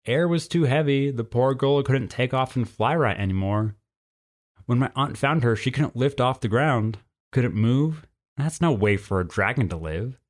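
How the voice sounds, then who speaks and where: A man's voice: mid-range voice, expressive, moderate pace, natural voice. A man voicing a stern, plainspoken female cougar with folksy country phrasing in a storybook. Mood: wistful, explaining. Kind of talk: storybook character voice.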